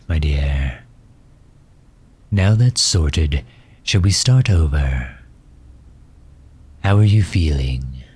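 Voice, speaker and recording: A man playing a courtly megalomaniac villain in an ASMR audio drama, speaking formally and with measured, gentle solicitude. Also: exaggerated voice. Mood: tender, patient. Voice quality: husky, low. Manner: formal, measured, silky endearments.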